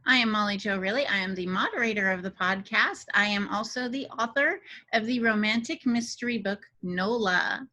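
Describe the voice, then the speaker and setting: A woman's voice: mid-range voice, moderate pace, sharp tone. A woman who moderates a podcast, chatting in casual banter with her co-hosts.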